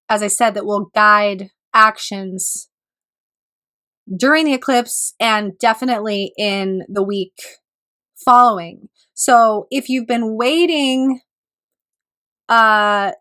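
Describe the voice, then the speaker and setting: A woman astrologer giving a reflective solo talk, her voice still recovering from a cold, with pauses and throat clearing. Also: a little high, fairly bright tone.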